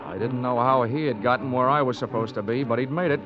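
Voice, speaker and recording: An American actor playing a wry, hardboiled insurance investigator in an old radio drama, dry and flippant. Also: male voice, mid-range voice, fast, natural voice. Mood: wry narrating. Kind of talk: 1940s-50s radio detective acting, first-person narration. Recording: dull old radio recording with music behind.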